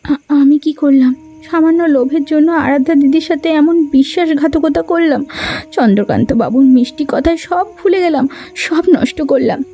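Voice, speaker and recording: A woman's voice: high voice, moderate pace, warm tone. Woman voicing a remorseful younger sister.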